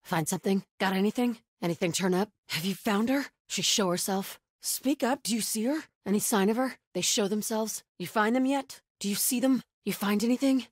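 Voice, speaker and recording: A woman's voice: mid-range voice, moderate pace, few pauses, no room sound, studio quality, natural voice. An actress playing a fierce, devout female cult soldier in a video game, calling out short clipped combat lines. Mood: tense, searching. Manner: short clipped callouts, from hushed to shouted. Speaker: video game enemy character.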